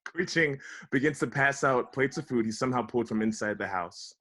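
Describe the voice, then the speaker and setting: Fast-reading male narrator. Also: medium pitch, a bit bright. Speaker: stage directions narrator. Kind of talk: scripted narration. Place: recorded remotely at home on a video call.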